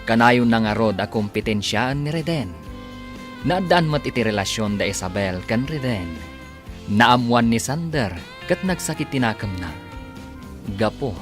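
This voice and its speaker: A man narrating and announcing an Ilocano radio drama in a dramatic scripted style. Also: medium pitch. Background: music under the voice.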